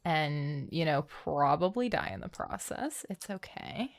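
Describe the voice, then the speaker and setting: A woman's voice: deep voice, lively intonation, unhurried. Woman casually chatting while gaming. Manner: playful, thinks aloud while playing. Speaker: game livestreamer. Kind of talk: casual gameplay commentary.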